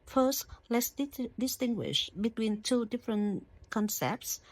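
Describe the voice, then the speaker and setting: A woman giving a prepared English lesson, speaking in a slow, deliberate and measured way. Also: a little high, expressive, dry recording, low-quality recording. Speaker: English teacher.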